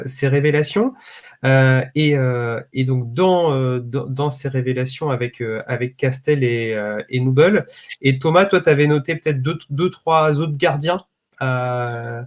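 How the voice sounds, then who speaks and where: A man hosting a podcast, speaking haltingly with many fillers as he moves the talk along. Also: mid-range voice. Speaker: podcast host.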